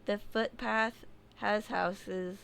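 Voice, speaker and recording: A woman reads a listener's scary story aloud, taking her time over every line. Her voice is smooth and clear, and she adds offhand asides and fixes her own slips as she goes. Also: medium pitch, fairly even intonation, a bit bright. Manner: unhurried reading with small slips and asides. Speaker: horror story narrator. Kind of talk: reading a submitted story aloud.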